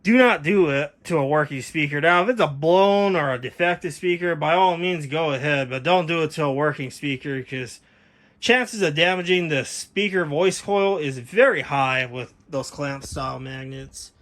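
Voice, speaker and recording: An American man talks in a voice pitched a little higher than most men's. He sounds like a hobbyist vlogger walking viewers through gear without a script. His delivery is folksy and conversational, wandering from one point to the next. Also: moderate pace, a bit bright. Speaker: vlogger showing vintage audio gear. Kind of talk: casual unscripted product walkthrough.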